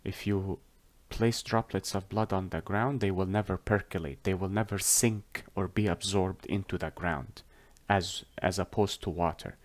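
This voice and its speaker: A man whose first language is Arabic tells a religious story as a lesson, talking deliberately with many pauses and self-corrections. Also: low-pitched. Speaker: religious storyteller teaching on a whiteboard.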